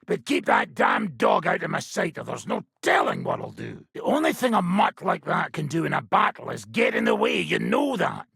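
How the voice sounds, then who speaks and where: A rough, hard-drinking soldier from a video game, with an extremely raspy, gravel-filled voice. His pitch sits high for a man and swings wildly as he speaks, coarse and hot-tempered. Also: wildly swooping pitch, moderate pace, hardly any pauses, fairly bright tone, no echo, studio quality, full character voice. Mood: gruff, insistent. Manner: coarse, swearing, blunt. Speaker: game character voice actor. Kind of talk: video game character dialogue.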